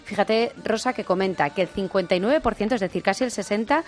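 A woman's voice: mid-range voice, fast, faint music behind. A woman on a radio show, chattily presenting listener messages and a study. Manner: chatty, explanatory. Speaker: radio social media contributor. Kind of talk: casual radio chat.

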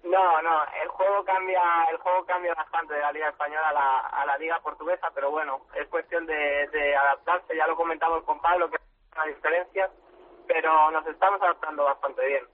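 A young Spanish man in his twenties, a futsal player giving a modest, relaxed phone interview with frequent restarts. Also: on the high side, a little fast. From Spain. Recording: tinny phone line with faint noise.

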